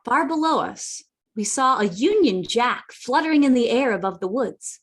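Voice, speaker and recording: A woman's voice: a little high, expressive, moderate pace. A woman playing an earnest, plucky young boy who narrates a sea adventure in a radio play. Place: indoors, remote online reading.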